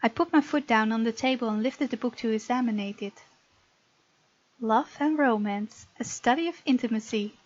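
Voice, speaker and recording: A woman narrates a visual novel playthrough, slipping into casual asides. Her voice sits fairly high, and the home recording is dry and slightly muffled. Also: moderate pace, dry recording. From Netherlands. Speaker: gamer reading a visual novel aloud and voicing the heroine.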